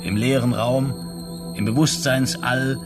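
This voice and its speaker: A man narrating a science-fiction radio drama as a measured, scripted storyteller. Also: mid-range voice, fairly even intonation, over loud music, natural voice. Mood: detached.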